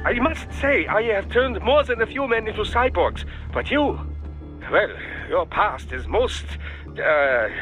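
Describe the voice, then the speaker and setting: An actor playing a fussy, hesitant cyborg surgeon in a game cutscene, formal and prone to stalling with "uh". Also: male voice, high-pitched, sing-song, a little slow, fairly bright tone, faint music behind, heavily acted, low-quality recording. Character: hesitant cyborg surgeon doctor, middle-aged man, fussy. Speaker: doctor.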